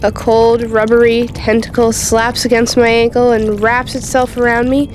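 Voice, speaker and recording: A woman acts out a haunted teenage girl in a radio drama. Her voice is on the high side, youthful and colloquial, as she confides eerie nightmares. There is faint noise behind her. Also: level tone, moderate pace, a little mellow, natural voice. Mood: frightened, tense. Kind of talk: first-person radio drama narration and dialogue.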